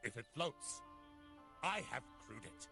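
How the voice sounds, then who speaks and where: A man's voice: mid-range voice, very animated, slow, vocal fry, sharp tone, soft background music, exaggerated voice. A man playing a blunt, sardonic seasoned sailor in a video game cutscene. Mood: wry. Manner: blunt, wry, colourful. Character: gruff, salty old-hand sailor, blunt and sardonic.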